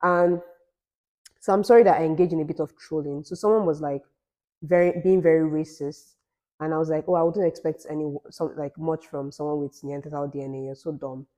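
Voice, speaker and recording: A young woman from Nigeria chats casually as a podcast co-host, her voice sitting a little low. She talks emphatically, rambling with restarts and repeating herself. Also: fairly even intonation, a little fast, dark tone. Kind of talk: casual chat.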